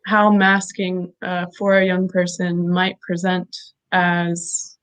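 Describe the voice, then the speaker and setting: A woman's voice: on the low side, completely flat delivery, takes it slowly, fairly bright tone, clean tone, low-quality recording. A woman hosting a conversational interview, asking questions hesitantly with many "um"s and restarts. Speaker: interview host, parent project lead.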